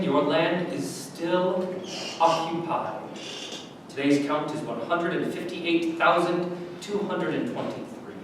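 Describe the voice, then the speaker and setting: An actor in a staged reading playing a cruel, condescending Nazi camp officer who speaks deliberately with dramatic pauses. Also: male voice. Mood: smug, mock-cheerful. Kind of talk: staged play reading, theatrical monologue. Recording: very echoey room, distant mic, noisy rumble.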